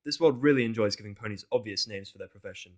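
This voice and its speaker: A man's voice: on the low side, fast, darkish tone. A man narrating fan fiction in an informal, exasperated way, swearing and slipping into casual asides.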